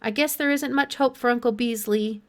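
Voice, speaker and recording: A woman's voice: on the high side, normal speed, lightly acted. A woman voicing an anxious, hopeful young boy with an earnest, pleading manner in a children's audiobook. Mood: discouraged.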